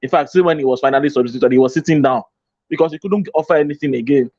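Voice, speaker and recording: A man at a middling pitch talks through a football match with calm, step-by-step reasoning and hammers home his main points through repetition. His voice comes through slightly dull and lo-fi, close and dry with no room sound. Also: no room sound. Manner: measured, explanatory, repeats points for emphasis. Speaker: football pundit. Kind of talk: studio football discussion.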